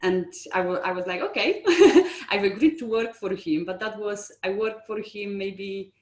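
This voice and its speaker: A woman's voice: mid-range voice, measured pace. Frank woman with a foreign accent, roomy sound. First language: not English. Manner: open, frank, frequent ums and restarts. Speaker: estate agency owner, guest. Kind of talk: interview, telling her career story.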